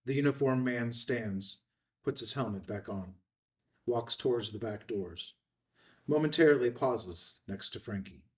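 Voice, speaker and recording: A man's voice: medium pitch, unhurried, recorded from a distance. A man reading scene headings and stage directions in a clipped, scripted narration at a table read.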